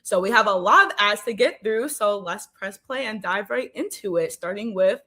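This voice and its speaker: Young woman hosting a podcast, upbeat and punchy, middle pitch. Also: expressive, moderate pace, sharp tone. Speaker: podcast host. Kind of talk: scripted podcast intro and hosting.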